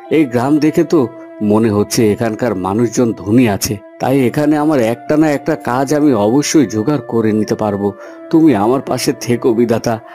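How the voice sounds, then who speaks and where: Guy doing a humble weary village farmer for a kids cartoon, earnest n pleading, mellow voice, music under. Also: medium pitch, natural voice. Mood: weary, prayerful. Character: poor, humble, weary village farmhand husband. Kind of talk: Bengali cartoon fairy tale acting.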